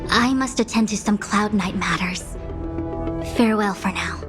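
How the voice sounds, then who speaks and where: Woman doing a calm tired diviner lady, formal and measured, music playing behind. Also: medium pitch, natural voice. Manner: measured, formal and slightly exasperated. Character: composed, weary young woman diviner official. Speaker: diviner official in a game cutscene. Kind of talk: game cutscene voice acting. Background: game music.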